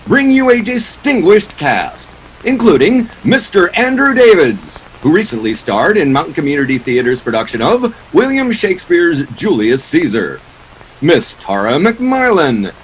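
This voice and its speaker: A male announcer presents a radio play in a showy 1940s style. His voice is high and swings widely in pitch as he reads the grand scripted introduction. A little noise lies behind it. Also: rough recording.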